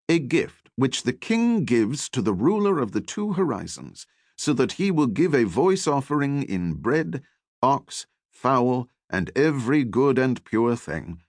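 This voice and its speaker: A man's voice: mid-range voice, no echo, studio quality. A man voicing an eager elderly archaeology professor, courteous and scholarly, who lectures with animation in an audiobook.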